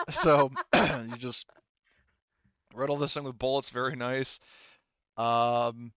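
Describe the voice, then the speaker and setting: A man with a smooth, clean voice runs a tabletop roleplaying game at an unhurried pace. He works through rules and narration haltingly, thinking aloud in a casual, mildly profane way. The recording is dry and a little dull. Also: medium pitch, fairly even intonation, a bit bright, no echo. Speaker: game master.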